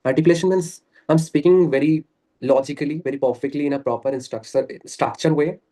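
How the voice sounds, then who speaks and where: A man's voice: fairly high pitch, fast, warm tone. A man talking earnestly and at length on a video call, laughing often. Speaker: guest. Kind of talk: casual conversation practice.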